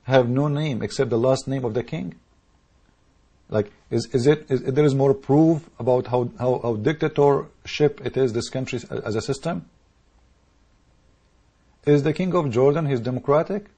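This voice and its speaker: Male commentator, English, dark mellow husky voice, heated monologue with repeated restarts. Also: mid-range voice, normal speed. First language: Arabic. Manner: rhetorical questions, repetitive restarts, emphatic. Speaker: political commentator. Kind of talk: impassioned monologue to camera.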